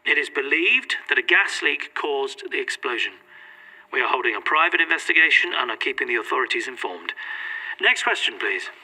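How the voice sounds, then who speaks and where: An actor playing a guarded, polished head archivist, making formal, evasive statements in an audio drama. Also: male voice, medium pitch, very animated, a little fast, bright, forceful tone, husky, exaggerated voice, low-quality recording. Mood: formal, controlled. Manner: formal, evasive, politely clipped.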